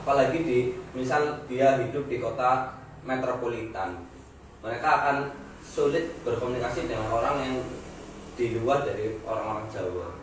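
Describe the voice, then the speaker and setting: A young man speaks Indonesian with a Banyumasan Javanese lilt, in an earnest, measured way. He sounds set back from the mic in a very echoey room. Steady background noise runs underneath. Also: mid-range voice, distant mic. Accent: Banyumasan Javanese (ngapak). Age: young adult. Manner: earnest, measured, stating firm views. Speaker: discussion participant. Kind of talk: casual group discussion.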